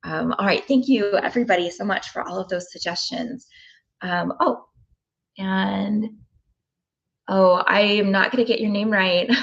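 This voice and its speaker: A woman's voice: mid-range voice, creaky, highs cut off. A woman from Oklahoma hosting a live tapping session, reading chat comments with frequent ums. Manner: warm, thinking aloud with frequent ums.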